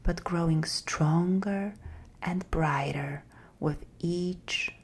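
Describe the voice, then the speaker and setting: Very slow, low-voiced woman guiding meditation. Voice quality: soft, breathy, half-whispered. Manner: gentle, unhurried, soothing, with long pauses. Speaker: meditation guide. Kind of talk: guided meditation, slow scripted narration.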